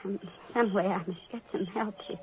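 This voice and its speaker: An actress playing a jealous, panicked young woman who has killed her sister, speaking frantically and haltingly in an old-time radio drama. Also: mid-range voice, steady tone, background noise, natural voice. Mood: frantic, guilty. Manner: frantic, halting, repeating herself. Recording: dull old radio recording with music.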